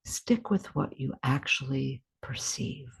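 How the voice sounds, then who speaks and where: Slow, low-voiced woman guiding meditation. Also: animated, creaky, slightly rough. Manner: gentle, unhurried, deliberate pauses. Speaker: meditation guide. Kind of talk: guided meditation, scripted instruction.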